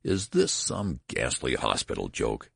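A man's voice: very deep voice, sing-song, normal speed, no echo, studio quality, exaggerated voice. A man voicing a frantic, mortified father with an agitated, gasping manner in a story reading. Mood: frantic rage. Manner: agitated, gasping, hoarse outbursts.

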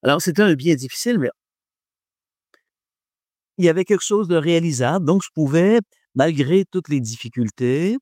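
A man's voice: fairly high pitch, lively intonation, normal speed, long pauses, darkish tone, no echo, studio quality. A man gives a reflective talk on faith and philosophy to an audience, hesitating often and joking about himself. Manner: hesitant, many fillers, self-deprecating humour.

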